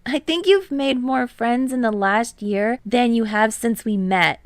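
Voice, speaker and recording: A woman voicing a bright, friendly teenage boy in an audiobook, with a teasing lilt. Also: a little high, a little slow, dry recording, pitched above the performer's own voice, natural voice.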